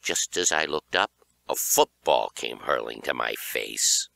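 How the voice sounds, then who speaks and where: A man reading a young horror story as an audiobook narrator, with an animated, dramatic delivery. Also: rumbling low, measured pace, creaky, no echo.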